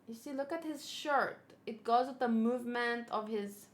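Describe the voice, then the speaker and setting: A woman's voice: a little high, moderate pace. A woman streamer chatting casually and giggling as she unboxes and assembles a figure, answering her chat.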